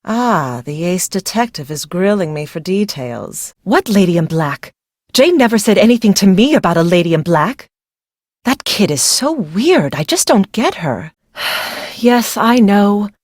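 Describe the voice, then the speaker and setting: A troubled young bride in a mystery video game. She sounds brooding and dramatic, fearful yet short-tempered, in a middle-pitched voice with a very dry, studio-clean sound. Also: big pitch swings, a little slow, darkish tone, no room sound. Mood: weary, secretive.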